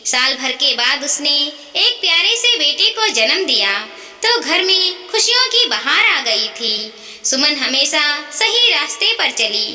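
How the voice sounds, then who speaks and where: Very high, lively female Hindi storyteller. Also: recorded from a distance. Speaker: storyteller, narrator. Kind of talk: scripted narration of a sentimental moral story. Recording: echoey, reverberant hall-like sound.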